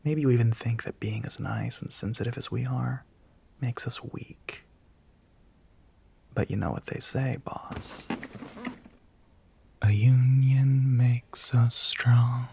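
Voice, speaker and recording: A man playing an earnest, nervous young soft-boy union spokesman in an audio roleplay, speaking haltingly but with polite firmness. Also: medium pitch, steady tone, gravelly, slightly rough, exaggerated voice. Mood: earnest, resolute. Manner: hesitant, halting, politely firm. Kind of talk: audio roleplay monologue, addressing the listener.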